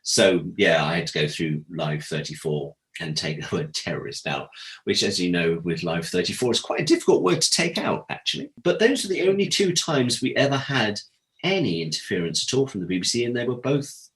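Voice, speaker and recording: A man with a low, deep voice speaks as an interview guest. He tells his stories candidly, in a conversational storytelling style, and voices the people he quotes. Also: expressive, a little fast, a bit bright. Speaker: interview guest, former audio drama producer. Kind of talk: animated anecdotal interview talk.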